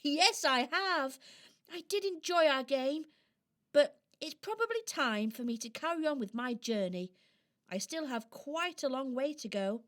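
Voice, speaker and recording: An eager little living toy from a children's radio play, acted by a woman. The voice is high and takes its time, with a brave, cheerful, girlish temper. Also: animated, a little slow, fairly bright tone. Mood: cheerful, hopeful.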